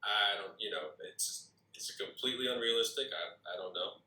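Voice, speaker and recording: A man giving casual critique in a hesitant, halting way, stammering and circling back as he gives feedback. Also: fairly low pitch, pushed and bright. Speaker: script reader giving feedback. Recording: roomy echo, distant from mic.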